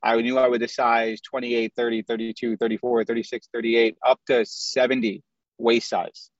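A man's voice: medium pitch, little pitch movement, normal speed, no room sound. Male, 30s, from the Midwest, candid interview guest, muffled video-call audio. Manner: candid, storytelling, lists things in runs.

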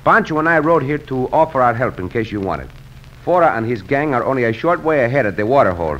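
An actor playing a gallant, confident Mexican cowboy hero with a Mexican accent and formal, courteous diction in an old-time radio western. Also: male voice, mid-range voice, very animated, fast, fairly bright tone, lo-fi sound. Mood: firm, courteous. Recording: noisy old radio drama recording.

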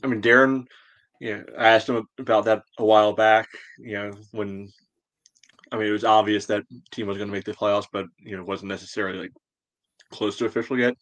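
A man with a fairly low voice co-hosts a fan sports podcast. His delivery is casual and informal, with a wry edge. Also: steady tone, fairly quick, a bit bright. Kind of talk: casual soccer fan chat.

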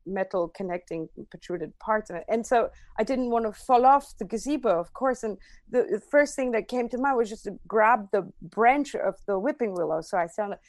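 A woman's voice: medium pitch, moderate pace, a little mellow, no echo. Woman telling a story. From Armenia. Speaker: podcast guest. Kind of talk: personal storytelling.

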